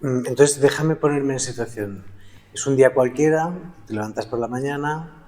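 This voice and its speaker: A man's voice: medium pitch, moderate pace, darkish tone. A male interviewer who asks friendly questions that prompt his guest to go on. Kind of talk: casual interview.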